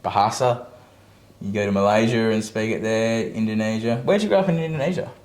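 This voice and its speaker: A young Australian man with a slightly low voice, chatting in a casual podcast. He speaks in a measured, reflective way, often pausing on "um" as he weighs his points. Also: darkish tone. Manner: measured, thoughtful, many "um"s. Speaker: guest.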